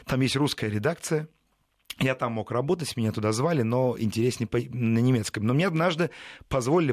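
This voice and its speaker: A man in an interview recalls his past at an easy conversational pace, wandering off on side tracks and correcting himself mid-sentence. His voice sits at an ordinary male pitch. It is recorded close and dry, with no room sound around it. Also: no echo. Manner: rambling, digressive storytelling with frequent self-corrections. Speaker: journalist guest.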